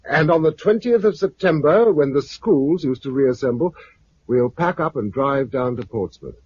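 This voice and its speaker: Thoughtful, weary British scientist-survivor, husky, varied intonation, muffled 1950s radio recording. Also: male voice, mid-range voice, animated, normal speed, darkish tone. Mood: reflective, resolved. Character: thoughtful male biologist, weary survivor and family man.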